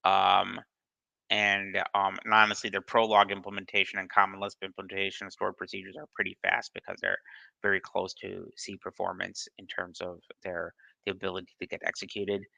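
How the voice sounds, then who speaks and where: A man's voice: low-pitched, completely flat delivery, a little fast, vocal fry, bright, forceful tone, no echo, lo-fi sound. A man on a video call answering questions in a casual live session, hesitant, with many ums and restarts. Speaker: study group presenter answering questions.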